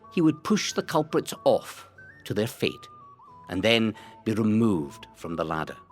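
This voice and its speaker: A man reading scripted historical narration in a measured, dramatic storytelling way. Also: medium pitch, fairly bright tone. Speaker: narrator of a local history audio guide. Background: music.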